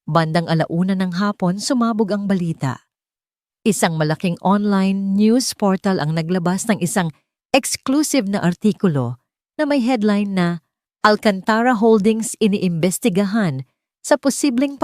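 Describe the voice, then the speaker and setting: A woman narrates scripted fiction in a fairly low register. Her delivery is dramatic and deliberate. The recording is dry, with almost no room sound. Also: darkish tone, no room sound. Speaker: storyteller, narrator.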